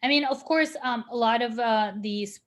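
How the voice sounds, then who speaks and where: A woman's voice: fairly high pitch, normal speed. A woman from Lebanon, a guest speaker answering questions on a video call, thoughtful and searching for her words. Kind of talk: virtual panel Q&A answer.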